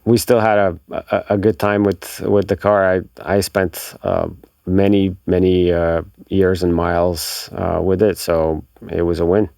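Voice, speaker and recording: Low-voiced man telling stories, close and dry. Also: level tone, measured pace, vocal fry, a little mellow, no room sound. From Bulgaria. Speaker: podcast guest, car enthusiast. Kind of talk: casual interview storytelling.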